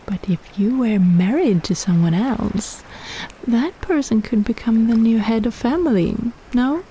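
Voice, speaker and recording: Woman as a slow, purring, possessive kraken. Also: fairly high pitch, lively intonation, dark tone, slight background noise, low-quality recording. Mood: scheming, persuasive. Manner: teasing, purring, drawn-out condescending coaxing. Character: possessive, teasing, scheming female kraken. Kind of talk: roleplay audio drama, intimate ASMR.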